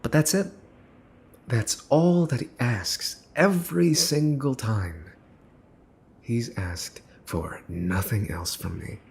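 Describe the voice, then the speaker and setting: Casting: male actor as arrogant young crime heir for ASMR roleplay, menacing and teasing, very unhurried delivery, some background noise. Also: medium pitch, creaky. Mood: wry, confiding. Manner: mocking, theatrical, deliberate, dropping into whispers. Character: smug, menacing, teasing young mafia boss's son. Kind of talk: ASMR roleplay, dramatic monologue to the listener.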